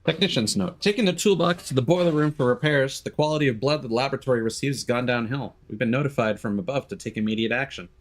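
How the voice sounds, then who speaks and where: A man's voice: mid-range voice, fast, hardly any pauses. A man giving casual gameplay commentary as a streamer, muttering to himself and reading in-game notes aloud.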